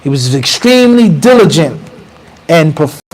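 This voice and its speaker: An American man in his thirties teaches a mosque class in a bright, high register, talking straight to the room. He speaks into a handheld mic held close, mixing casual talk with recited Arabic. A faint hiss sits under the recording. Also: normal speed. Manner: animated, conversational, quotes Arabic passages. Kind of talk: mosque lecture. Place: small mosque room with bookshelves. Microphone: handheld mic close to mouth.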